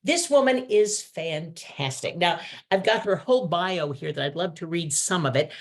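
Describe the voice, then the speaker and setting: A woman's voice: fairly low pitch, moderate pace. A woman hosting an online meeting, introducing a guest speaker in a chatty, enthusiastic way. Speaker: host. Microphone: video call.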